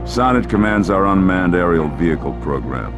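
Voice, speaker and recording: Authoritative older male squadron commander, deep, measured game briefing over prominent music. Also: big pitch swings. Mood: businesslike. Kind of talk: game cutscene military briefing. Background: loud music.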